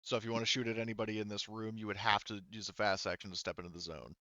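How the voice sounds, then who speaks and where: Smooth-voiced man running a tabletop game. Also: lowish voice, level tone, rapid-fire, sharp tone, no room sound, highs cut off. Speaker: game master. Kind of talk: casual narration of a tabletop roleplaying game.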